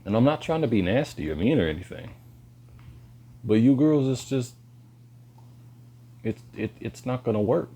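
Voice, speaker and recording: A man, lecturing bluntly in a halting monologue to camera. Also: lively intonation, dark tone, slightly rough. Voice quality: deep voice. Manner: slow and halting, pauses and restarts. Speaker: vlogger.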